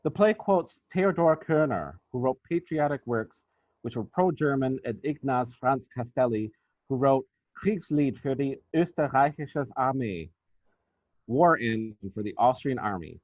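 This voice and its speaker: A man presenting academic research as a scripted lecture, reading formally and deliberately. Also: medium pitch, lively intonation, no room sound. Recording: thin, phone-like band-limited audio.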